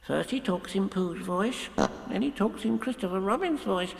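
A man voicing a small anxious piglet in a squeaky, husky storybook voice with jittery, blurting delivery. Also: normal speed, reverberant, big-room sound. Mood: anxious, unsettled. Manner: jittery, blurting, trying to sound fierce. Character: small anxious male piglet, nervous and easily flustered.

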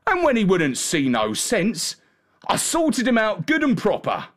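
A man's voice: sits high, huge pitch swings, unhurried, a bit bright, pitched above the performer's own voice, heavily acted. A man voicing a boastful, sly coachman with folksy, ungrammatical speech in a storybook tale.

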